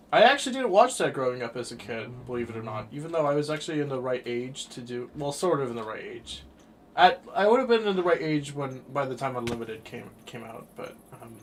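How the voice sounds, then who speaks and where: A twenty-year-old man streaming a game and chatting casually, rambling through his thoughts with sudden bursts of excitement. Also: medium pitch, a little fast, a little hiss or hum. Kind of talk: casual chat while livestreaming a game.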